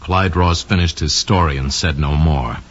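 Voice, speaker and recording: An actor playing an ominous narrator of crime case histories, solemn and theatrical, in the style of 1940s radio drama. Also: male voice, very deep voice, normal speed. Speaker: announcer and narrator. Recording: dull, reverberant old radio recording.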